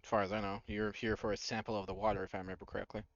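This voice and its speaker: A man narrating a tabletop roleplaying game as game master, in a dramatic, deliberate storytelling way. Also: lowish voice, little pitch movement, no room sound, muffled recording.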